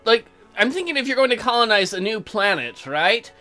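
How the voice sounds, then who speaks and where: An irreverent man commentates on a game and reads its text aloud. His voice is bright and forceful with a lively, swinging pitch, crude and mocking in manner. Faint music runs behind him. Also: brisk. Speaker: let's play host. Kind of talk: joking game commentary mixed with reading aloud.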